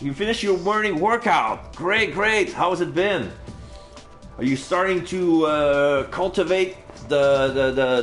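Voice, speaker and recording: A man's voice: on the high side, a bit bright, reverberant. French Canadian man streamer, rambling. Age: 40s. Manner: rambling, many fillers and restarts. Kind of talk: casual live-stream chat.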